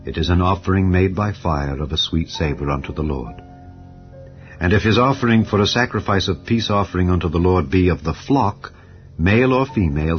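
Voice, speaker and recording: Man, very deep voice, solemn measured scripture reading, faint background music. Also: highs cut off. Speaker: Bible narrator. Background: soft ambient music.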